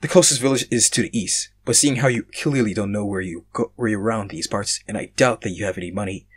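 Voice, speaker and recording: A man's voice: lowish voice, fast, husky. A man voicing a wary, teasing male tactician in a fantasy story, with a dry, questioning manner. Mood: firm, wary.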